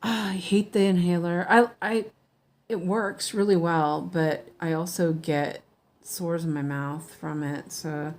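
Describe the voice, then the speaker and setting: Slow, low-voiced woman, slightly hoarse, chatty and rambling, casual home stitch-along host. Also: fairly even intonation. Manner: chatty, rambling, many ums and self-corrections. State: hoarse from allergies. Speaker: cross-stitch streamer.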